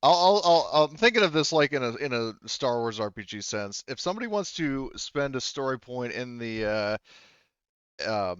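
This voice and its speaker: A man running a tabletop roleplaying game, improvising narration and explaining options in a halting, rambling way. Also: medium pitch, animated, fairly bright tone, clean tone, no room sound, muffled recording. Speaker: game master.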